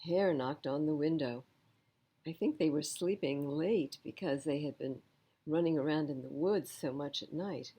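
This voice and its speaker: Slow, expressive woman storyteller, mellow voice. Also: low voice, husky. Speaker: children's storyteller. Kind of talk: expressive picture-book reading for children.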